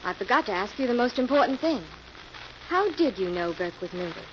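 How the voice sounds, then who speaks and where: Inquisitive woman companion, high voice, rapid speech, eager, vintage radio drama, noisy old recording. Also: sing-song, lo-fi sound. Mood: curious. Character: eager, curious young female companion of the sleuth. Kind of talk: 1940s radio acting.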